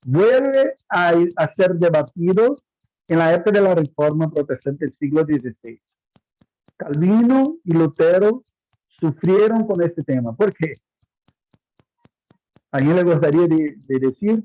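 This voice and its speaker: A man teaching a Bible course in Spanish as his second language, halting and repeating words as he lectures and asks his students questions. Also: a little high, dark tone, dry recording. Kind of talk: online class lecture. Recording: muffled lo-fi audio.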